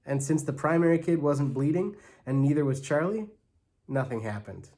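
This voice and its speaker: A man reading a children's story aloud in an animated, expressive way, as a church storyteller. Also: fairly high pitch, normal speed. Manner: expressive, acts out characters' lines.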